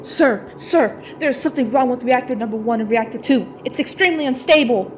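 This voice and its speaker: A woman's voice: a little high, a little fast, a little mellow, slight background noise, close to an everyday voice. A woman playing an alarmed nuclear plant worker who reports to her boss in an audio drama. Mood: alarmed, urgent.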